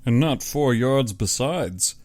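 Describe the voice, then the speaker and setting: A man's voice: medium pitch, expressive, slow, darkish tone, dry recording, voice pushed up. A man voicing a pragmatic, dismissive big-game hunter in an audiobook, blunt and self-assured. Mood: agreeable. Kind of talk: audiobook character dialogue.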